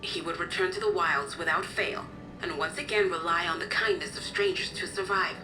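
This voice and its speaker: An actress playing a kindly, motherly guardian in a video game cutscene, explaining things in a gentle storytelling way. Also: lowish voice, moderate pace, over music, lightly acted, rough recording. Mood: earnest, explaining. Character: kindly motherly woman, caring guardian of an orphaned boy.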